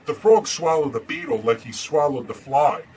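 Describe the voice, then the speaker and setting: A man playing Batman as an earnest, deadpan superhero host who chats casually and reads storybooks aloud, with some hesitation. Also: fairly high pitch, moderate pace, slight background noise, natural voice, low-quality recording. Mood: storytelling.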